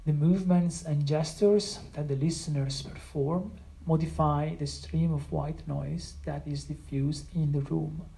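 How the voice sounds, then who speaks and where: A sound artist, not a native English speaker, explaining their own piece with many pauses and restarts. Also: mid-range voice, fairly even intonation, creaky, warm tone, low-quality recording. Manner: hesitant, many restarts and fillers. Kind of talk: explaining own work in a conversation.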